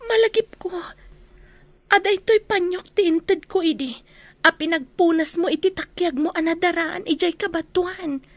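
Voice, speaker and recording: An actress playing a tender, emotional young office worker in a radio drama, speaking haltingly. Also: female voice, very high voice, no echo. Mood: stunned, moved. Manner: slow, halting, hesitant delivery. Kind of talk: Ilocano radio drama acting.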